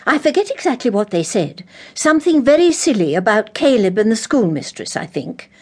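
An actress playing a forthright, eccentric English vicar's wife in an audio drama, emphatic, blunt and matter-of-fact. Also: female voice, on the high side, big pitch swings, normal speed, husky. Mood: brisk, disapproving.

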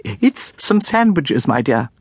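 A man voicing a kindly, fussy older spinster schoolmistress who flutters and frets in a high, flustered voice. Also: sing-song, slow pace, husky, no room sound, studio quality, full character voice.

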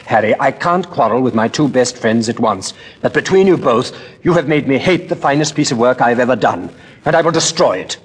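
A man's voice: medium pitch, expressive, fairly quick, breathy edge, pitched above the performer's own voice. A man voicing a proud, earnest painter in a storybook reading, speaking in a heated, earnest way.

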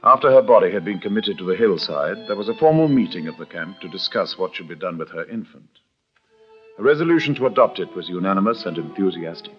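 A man narrating a frontier tale as a radio-drama storyteller, measured, theatrical and precise in his wording. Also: deep voice, lively intonation, faint music behind. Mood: measured, dramatic. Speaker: narrator and character actor. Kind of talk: dramatic scripted storytelling, old-time radio. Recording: dull vintage radio recording.

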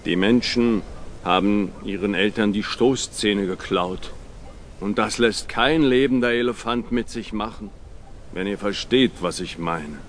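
An actor playing a big, gentle and confident zoo elephant in a children's audiobook, speaking deliberately and matter-of-factly. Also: male voice, lowish voice, a little hiss or hum, natural voice. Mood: sad, gentle.

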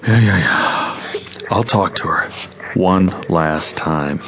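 A man's voice: low voice, slow, background noise. An actor playing a harried, grumbling but worried young father in a radio drama. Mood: grumbling, weary.

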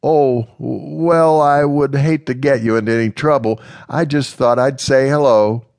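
A man voicing a devout, timid young woman who speaks softly and hesitantly. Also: medium pitch, fairly even intonation, unhurried, vocal fry, no room sound, voice pushed up. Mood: nervous. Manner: hesitant, soft-spoken.

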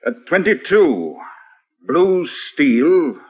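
An actor playing an observant, deferential police detective in a classic radio drama, clipped and respectful. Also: male voice, fairly high pitch, very animated, very slow, husky, exaggerated voice. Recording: muffled vintage radio recording.

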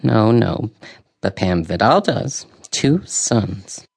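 An actress playing a sharp, gossipy old woman in an audio drama, with a gravelly voice and a knowing, dryly opinionated way of talking. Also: a bass voice, very animated, takes it slowly, exaggerated voice. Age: older.

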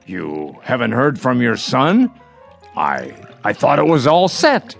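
An actor playing a grouchy, bitter elderly man in a radio drama, gravelly and grumbling. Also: medium pitch, huge pitch swings, takes it slowly, a bit bright, faint music behind, exaggerated voice. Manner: grumbling, muttering, repeats himself.

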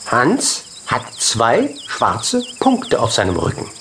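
A man narrating a children's audiobook expressively, in short measured phrases. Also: medium pitch, wildly swooping pitch, creaky, fairly bright tone, slight background noise. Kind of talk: scripted children's story narration.

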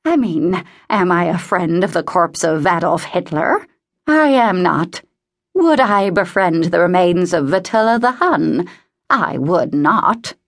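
Narrator lady doing a cross bossy old woman from a storybook, gravelly n fussy, very emphatic. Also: on the low side, expressive, slow pace, exaggerated voice. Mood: indignant.

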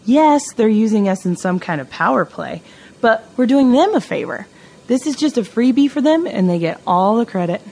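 An actress playing a brash, confident amateur sleuth in an audio drama, blunt and sarcastic. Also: female voice, fairly high pitch, animated, moderate pace, vocal fry, a little mellow, breathy edge, exaggerated voice. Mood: confident, explaining. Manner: sarcastic, blunt, self-assured.

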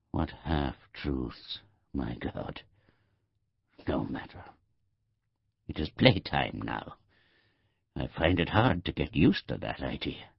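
An actor playing a dying old man in an audiobook monologue, gravelly and husky, musing wryly in halting phrases. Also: a bass voice, no room sound, highs cut off, exaggerated voice. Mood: weary, wistful. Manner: halting, ruminative, self-correcting in short phrases. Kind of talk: scripted audiobook narration, first-person literary monologue.

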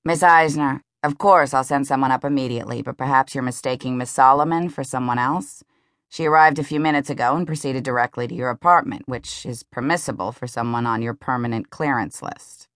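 A woman's voice: very deep voice, a little fast, fairly bright tone, no echo, clean studio sound. A woman voicing a polite male doorman who speaks formally on the phone. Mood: courteous.

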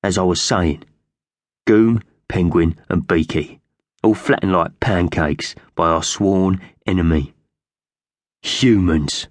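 A man's voice: deep voice, unhurried, no echo, studio quality. A man voicing a sleek, cruel magpie gang boss in a children's storybook, solemn, commanding and hard-edged. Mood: menacing, vengeful.

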